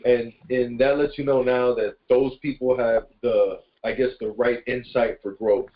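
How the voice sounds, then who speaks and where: A man's voice: mid-range voice, steady tone, unhurried. A man talking casually on a conference call in an informal, affirming, rambling way about things in a motivational spirit. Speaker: participant in a self-improvement conference call. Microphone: conference call line. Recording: dull, lo-fi conference call audio.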